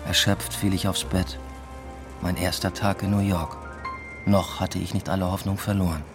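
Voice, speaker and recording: A man's voice: low-pitched, natural voice. An actor playing a searching, lovelorn young man adrift abroad, narrating a radio drama in a reflective, understated way.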